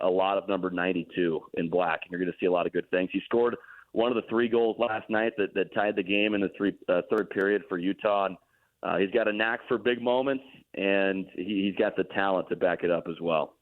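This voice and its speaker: A man, a hockey broadcaster, giving relaxed, explanatory analysis as a radio guest. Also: low voice, brisk. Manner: relaxed, explanatory, self-deprecating. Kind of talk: casual radio interview analysis. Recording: tinny phone line.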